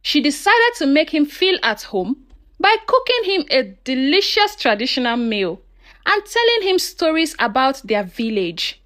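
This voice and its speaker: A woman's voice: sits high, sing-song, measured pace, fairly bright tone. A woman narrating a scripted folktale in a dramatic storytelling manner, posing questions to her listeners.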